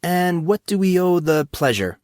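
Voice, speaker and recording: A man's voice: sits high, big pitch swings, unhurried, a little mellow, no echo, studio quality, voice pushed up. A man voicing an awkward, hesitant fourteen-year-old schoolboy in an audiobook, tentative and polite. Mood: light, wary. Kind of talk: audiobook character acting.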